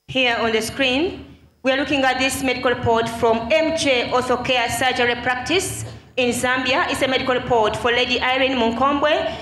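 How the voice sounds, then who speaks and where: Bright, measured woman presenter. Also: sits high, fairly even intonation. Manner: measured, explanatory. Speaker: ministry presenter. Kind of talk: formal narration. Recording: echoey room with faint noise.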